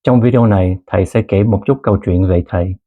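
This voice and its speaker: A man in his thirties who grew up in Canada, narrating a personal story as a language teacher in a calm, earnest way and mixing two languages. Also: low voice, fast, phone-quality sound. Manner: calm, earnest storytelling, switching between Vietnamese and English. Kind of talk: scripted lesson narration.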